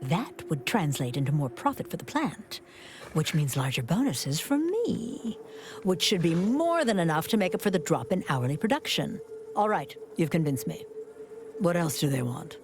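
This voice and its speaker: A woman's voice: sits low, big pitch swings, normal speed, noisy background. A woman voicing a greedy, penny-pinching corporate boss in a video game, pompous, theatrical and articulate. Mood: grudging, calculating.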